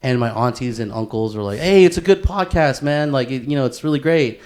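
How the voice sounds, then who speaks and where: A man's voice: medium pitch, lively intonation, brisk, darkish tone. A man hosting a casual podcast, chatting in a slangy way with plenty of swearing and lots of bro and dude. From San Francisco Bay Area. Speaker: podcast host.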